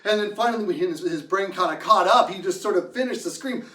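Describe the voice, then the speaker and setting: A man telling a casual anecdote in a theatrical, animated way, acting out reactions as he goes. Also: sits high, fast, far from the mic. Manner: theatrical, acts out quotes and reactions. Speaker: storyteller, host of the show. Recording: roomy echo, off the mic.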